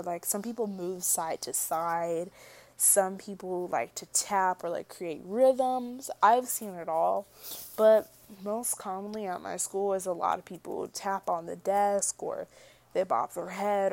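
A young woman in a casual monologue to camera, soft-spoken and prone to mumbling, rambling with lots of "like" and restarts. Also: mid-range voice, vocal fry, no room sound. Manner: rambling, full of likes and restarts. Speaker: vlogger.

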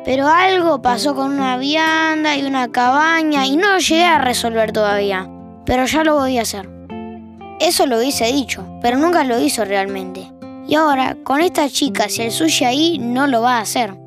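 A woman's voice: high-pitched, animated, normal speed. A woman with a Rioplatense Spanish accent giving reflective scripted narration for an audio drama. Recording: voice over guitar music.

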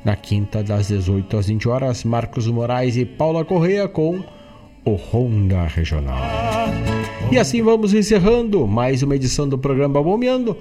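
A man's voice: medium pitch, sing-song, moderate pace, dark tone, soft background music. A man with a southern Brazilian gaúcho accent announcing as a radio host. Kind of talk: radio announcing, reading a playlist.

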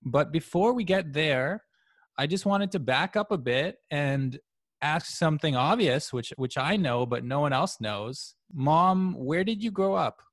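A man's voice: on the high side, lively intonation, unhurried, dry recording, studio quality. A young man from Canada hosting a podcast, talking casually and interviewing a guest with friendly questions. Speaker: podcast host.

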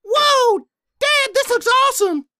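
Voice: very high-pitched, lively intonation, unhurried, bright, forceful tone, no room sound, studio quality, exaggerated voice. An actor playing a spoiled little boy in a campy retro comedy ad, drawling and wide-eyed. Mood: awed.

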